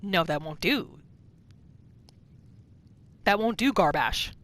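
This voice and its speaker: A woman's voice: lowish voice, expressive, slow pace, fairly bright tone. A woman gaming YouTuber giving casual, story-like commentary as she narrates a roleplay playthrough. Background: game music.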